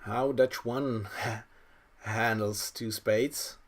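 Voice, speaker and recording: Slow, unhurried male bridge commentator. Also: mid-range voice, fairly even intonation. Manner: thinks aloud, analytical, unhurried. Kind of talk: live card game commentary.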